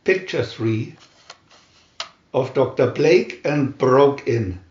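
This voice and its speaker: A man reading a scripted adventure story aloud in a dramatic, deliberate narrator's manner. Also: medium pitch, lively intonation, darkish tone, roomy sound, dull audio. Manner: dramatic, deliberate comic-adventure reading with announced picture numbers. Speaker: narrator and reader.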